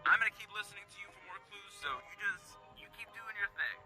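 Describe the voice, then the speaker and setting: A man's voice: high voice, flat delivery, fast, bright, forceful tone, soft background music. A man playing a nervous, earnest oddball caller in a game's radio drama, hesitant and sheepish. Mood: earnest, awkward. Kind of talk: video game radio drama acting.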